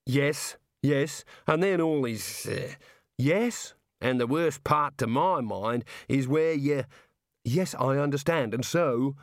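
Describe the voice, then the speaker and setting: A man's voice: mid-range voice, expressive, takes it slowly, no room sound, studio quality, pitched above the performer's own voice. A man voicing a hapless, condemned wizard with a gloomy, sarcastic and hesitant manner, in a storybook reading. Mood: bitter, exasperated.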